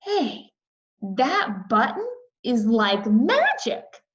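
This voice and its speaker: Casting: eager young girl storyteller played by a woman, unhurried, childlike and emphatic, slightly muffled storybook recording. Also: on the high side, wildly swooping pitch, full character voice. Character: eager little girl narrating her sleepover adventure. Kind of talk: children's book read-aloud.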